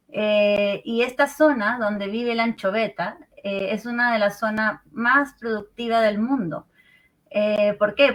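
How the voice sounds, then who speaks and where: A woman in her thirties with a Peruvian accent, a marine researcher calmly explaining in an interview from home over a remote call, often ending phrases with a questioning tag. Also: a little high, poor audio. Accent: Peruvian Spanish. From Peru. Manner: calm, explanatory, many "eh" and "¿no?" tags.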